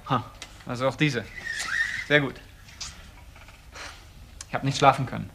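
A man's voice: medium pitch, fairly even intonation, unhurried, creaky, background noise. A man playing an obsessive, insistent accuser in a German crime radio drama, brooding over every point. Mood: urgent, brooding.

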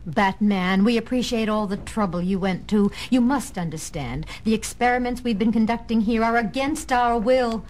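A woman's voice: a little high, normal speed, few pauses, a little mellow, slight background noise, close to an everyday voice. A woman playing an anxious, apologetic captive scientist in a children's audio comic, earnest and pleading. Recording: faint music behind.

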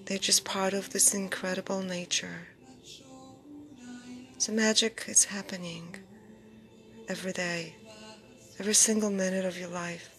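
Slow, soft woman guiding meditation. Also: mid-range voice, steady tone. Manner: soothing, unhurried, short phrases with pauses. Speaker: meditation guide. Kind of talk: guided meditation. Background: background music.

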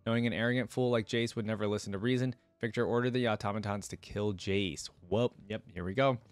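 A man's voice: lowish voice, fairly even intonation, fast, no room sound. A young man hosting a reaction video, reading lore aloud and commenting casually into a close desk microphone. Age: young adult. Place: indoors at a desk.

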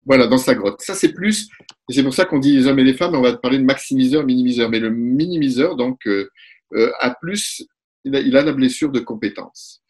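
A man in his forties, a couples therapist, explaining ideas in a lively webinar talk and acting out short exchanges, recorded through a webcam at home. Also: medium pitch, breathy edge. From Italy. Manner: animated, gestures a lot, acts out quick exchanges. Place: room at home. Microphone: webcam on a video call.

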